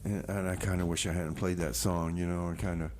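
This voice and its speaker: A man's voice: low-pitched, level tone, brisk, a little mellow. A man hosting community radio, casually rambling and playfully bantering between songs as he announces what was played. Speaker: radio show host and DJ. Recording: radio studio with background noise.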